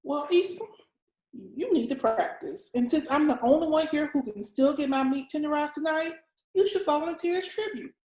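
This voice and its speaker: A woman's voice: high-pitched, moderate pace, darkish tone, echoey room, close to an everyday voice, low-quality recording. A woman playing a crude, funny, slangy babysitting friend in a comedy script table read. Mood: breezy, teasing. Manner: blunt, slangy, sassy.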